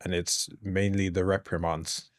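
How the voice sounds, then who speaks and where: A man hosting an interview show, asking curious questions in a relaxed way. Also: low-pitched, a little slow, dry recording, clean studio sound. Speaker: podcast host. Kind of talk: conversational interview.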